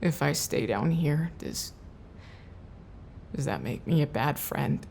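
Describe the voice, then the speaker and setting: A woman's voice: low-pitched, expressive, takes it slowly, creaky, a little mellow, slight background noise, full character voice. A woman acting in an audio drama as a bitter, grieving, evasive worker at a secret facility, talking bluntly. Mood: despairing.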